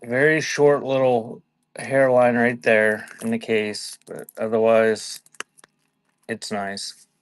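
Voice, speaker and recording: A man's voice: mid-range voice, level tone, takes it slowly, clear, resonant tone. A man hosting a live online auction, chatting casually in a laid-back, conversational way as he presents items. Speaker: live auction seller and host.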